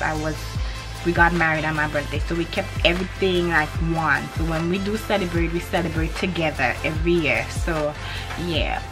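A young woman from Grenada with a Grenadian accent, chatting animatedly to the camera in a vlog and repeating her points for emphasis. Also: on the low side. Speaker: vlogger. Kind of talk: casual to-camera chat. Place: indoors in front of a hanging cloth backdrop. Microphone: camera in front at head-and-shoulders framing.

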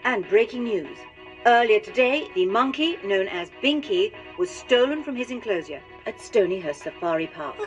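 An actress voicing a cartoon TV news presenter reading the headlines formally. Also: female voice, mid-range voice, expressive, moderate pace, music in the background, natural voice. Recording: dull TV speaker sound.

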